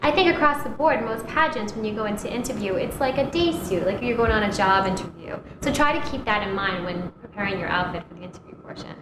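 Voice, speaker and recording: A woman with a high-pitched voice, a former pageant contestant, giving quick, upbeat advice. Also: echoey room, background noise. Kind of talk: instructional video presentation.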